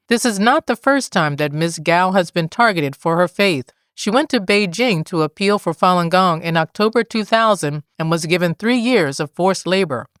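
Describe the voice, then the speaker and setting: Low-pitched woman reading scripted news. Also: no echo, clean studio sound. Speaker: news reader.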